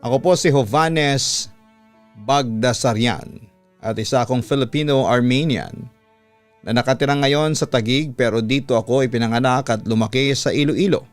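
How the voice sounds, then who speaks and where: A man narrates a listener's letter in a theatrical radio voice, his pitch leaping up for effect. His voice sits in the middle range and sounds dry and close to the mic. Soft music plays quietly behind him. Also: normal speed, dry recording. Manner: theatrical storytelling with sudden pitch lifts. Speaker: radio announcer and host. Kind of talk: dramatic reading of a listener's horror letter. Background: faint background music.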